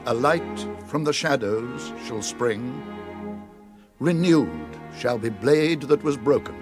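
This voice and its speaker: A man playing a grave, kindly wizard in a radio drama, speaking in a measured, declamatory way. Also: medium pitch, animated, over music. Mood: solemn, incantatory. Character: grave, kindly wizard reading out his letter. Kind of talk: classic radio drama, verse recitation.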